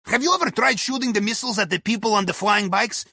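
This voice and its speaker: An actor playing a jovial, deferential Russian submariner in a video game, speaking courteous, slightly broken English with a Russian accent and wry dark humour. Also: male voice, high-pitched, fast talker, creaky, no room sound, clean studio sound, heavily acted. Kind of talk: video game character dialogue.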